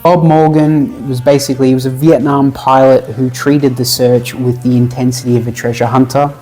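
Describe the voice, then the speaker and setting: A man co-hosting a casual podcast, telling a history story in a laid-back, joking way, with fillers and quick laughs. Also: medium pitch, little pitch movement, dark tone, faint music behind, poor audio. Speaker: podcast co-host.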